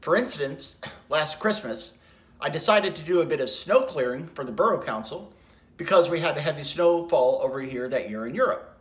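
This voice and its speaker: A man's voice: a little high, expressive, moderate pace, pitched above the performer's own voice, natural voice. A man playing a chatty, mock-polite but menacing working-class man in a theatrical monologue. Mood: sly, aggrieved. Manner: conversational, digressive, mock-polite.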